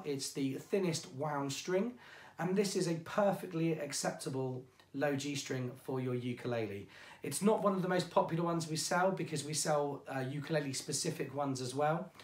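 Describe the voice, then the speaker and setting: An English man presenting ukulele strings in a chatty, informal way, his voice going hoarse. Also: fairly high pitch, reverberant. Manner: chatty, informal, self-deprecating. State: losing his voice. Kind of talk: product demonstration.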